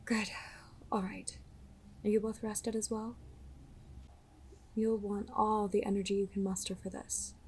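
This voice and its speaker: A woman playing a composed, purposeful leader in an audio drama, speaking politely in a measured, formal manner. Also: mid-range voice, little pitch movement, darkish tone, a little hiss or hum, natural voice. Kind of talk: audio roleplay drama acting.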